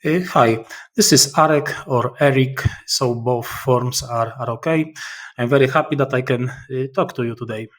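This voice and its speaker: A middle-aged man with a Polish accent, a guest author answering interview questions in careful, halting English with frequent pauses. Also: medium pitch. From Poland.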